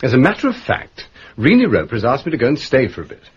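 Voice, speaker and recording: An actor with an upper-class English accent playing a mild, wry gentleman detective in a radio drama, understated and polite. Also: mid-range voice, huge pitch swings, fast, husky, lo-fi sound, heavily acted. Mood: wry, intrigued.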